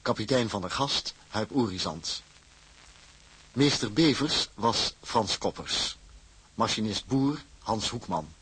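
A man reading formal scripted credits as a radio drama announcer, with a husky voice. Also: lowish voice, animated, slow pace, a bit bright, poor audio.

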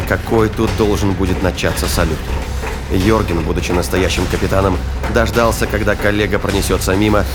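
A man reading dramatic scripted narration for a science fiction thriller audio drama. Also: low-pitched. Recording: loud music and battle effects behind.

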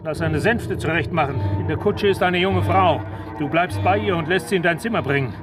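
High male voice, actor as feared castle lord, imperious, tormented, radio drama, music underneath. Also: fairly quick, natural voice. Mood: commanding, impatient. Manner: imperious, barking orders, brooding when alone. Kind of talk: dramatic radio play acting.

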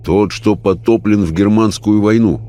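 A man playing a calm, firm seance questioner in an audiobook, measured, grave and insistent. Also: lowish voice, lightly acted. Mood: concerned.

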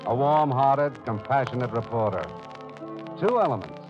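Dramatic old-time radio narrator, man, expressive. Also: medium pitch, normal speed. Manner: portentous, emphatic, second-person storytelling. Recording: dull old radio broadcast. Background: dramatic music.

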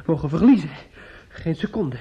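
A man acting a bitter, menacing nephew in a radio play, halting and repetitive, sneering and insistent. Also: a little high, expressive, warm tone, slightly rough, heavily acted. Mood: bitter mockery. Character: bitter, menacing, grief-stricken nephew taunting his aunt. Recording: muffled, dull old radio recording.

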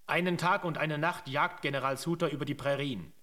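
Narrator for historical radio drama: grave storytelling, slightly high pitch. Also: male voice, brisk, pushed and bright. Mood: grave, dramatic.

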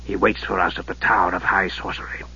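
A man voicing a frail, sardonic old archmage in a soft, low voice that drops to whispers and a slow, cutting delivery, on a dull lo-fi recording. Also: flat delivery, vocal fry, breathy edge, no echo, heavily acted. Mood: cold.

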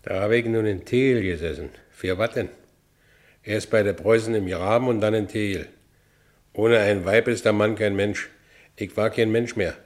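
An actor playing a rough, brawny, volatile ex-convict labourer in a radio play, speaking coarse Berlin vernacular. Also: male voice, on the low side, steady tone, moderate pace, close to an everyday voice. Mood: bitter brooding. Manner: coarse Berlin vernacular, swinging from brooding to exultant. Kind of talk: German radio play acting, monologue and dialogue.

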